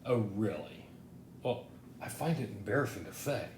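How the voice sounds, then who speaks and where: A woman's voice: lively intonation, moderate pace, darkish tone. A woman playing a stubborn, eccentric male customer in a comic play, insistent and easily indignant. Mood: stubborn, sullen. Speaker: actor in a student play. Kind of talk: comic stage play dialogue. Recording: roomy echo, low rumble, faint noise.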